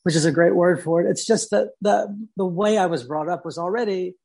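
A man from Mississippi, a guest artist talking thoughtfully in an interview, pausing and restarting as he searches for words. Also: sits high, vocal fry, darkish tone, breathy edge. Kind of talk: reflective interview talk.

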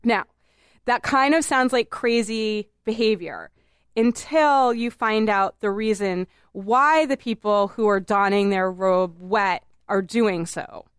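Brief: woman podcast host, smooth clear voice, animated and laughing, dry close mic. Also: medium pitch, takes it slowly, dry recording. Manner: animated, laughs often, exaggerated. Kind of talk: casual podcast chat.

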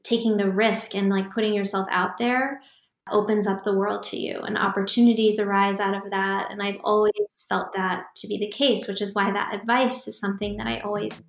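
A calm, even-keeled woman reflects on her path as a founder during a podcast interview. Her delivery stays fairly flat in pitch, and the recording picks up a roomy echo. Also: moderate pace, creaky. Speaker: startup founder guest.

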